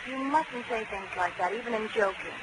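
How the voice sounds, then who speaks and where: An actress playing a flirtatious, breezily amoral carnival daredevil with an airy, teasing manner in a 1940s radio crime drama. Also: female voice, a little high, moderate pace, lightly acted. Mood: breezy, flirtatious. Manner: coy, airy, nonchalant, teasing. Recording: noisy old 1940s radio broadcast.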